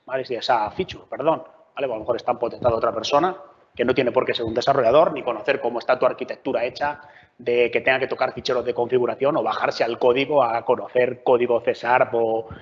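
A man from giving a conversational technical talk at a meetup, often checking in with the audience. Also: medium pitch. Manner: conversational, frequent filler checks. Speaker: meetup speaker. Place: large meeting room. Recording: lo-fi roomy hall recording.

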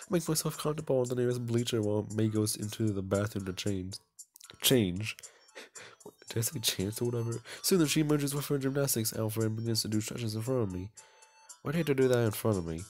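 A man's voice: mid-range voice, brisk. A man doing casual playthrough commentary and reading game text aloud in a goofy, self-mocking way. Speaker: let's player.

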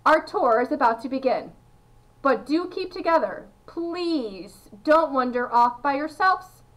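A woman voicing an excitable, eccentric little man who gushes and repeats himself in a high voice as she reads a children's storybook. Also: a little slow, low-quality recording. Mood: overjoyed, bustling. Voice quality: high-pitched. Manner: effusive, exclamatory, repeating himself. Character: excitable, gushing, eccentric little man, a candy factory owner.

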